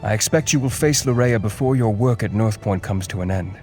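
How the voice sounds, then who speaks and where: A man voices a learned scholar in a fantasy game who speaks formally and cuts people short. His voice sits on the lower side. Quiet game music plays underneath. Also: fairly quick, lightly acted. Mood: curt. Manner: formal, curt, impatient. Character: learned male scholar advisor, brisk and impatient.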